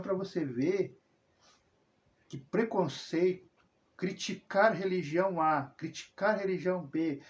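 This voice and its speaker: A man rambling through a casual personal monologue, full of tag questions and self-corrections. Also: a little high. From southern Brazil. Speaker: religious video host. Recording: dull lo-fi sound.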